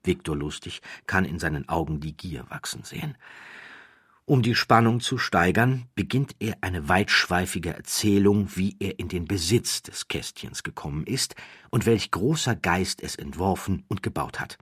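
Male audiobook storyteller, low husky voice, measured with dramatic emphasis, dry studio sound. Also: expressive, fairly bright tone, no echo. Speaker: audiobook narrator. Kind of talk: scripted narration, storytelling.

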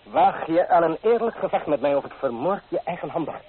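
An actor playing a wounded, solemn suitor who threatens and demands a duel in a period radio play. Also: male voice, fairly high pitch, expressive, fairly quick, a little hiss or hum, natural voice. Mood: indignant, threatening. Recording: old tinny radio recording, background hubbub.

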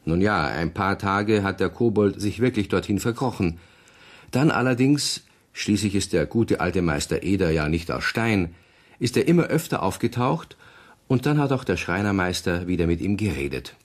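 A man playing the narrator of a children's radio play, telling the story in a measured, kindly way. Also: low-pitched, animated. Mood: gently amused.

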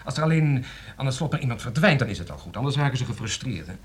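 Wry, husky-voiced thriller writer. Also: male voice, mid-range voice, sing-song, very fast. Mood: wry, pondering. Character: shrewd, wry thriller writer, thoughtful and hesitant. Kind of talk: radio drama acting.

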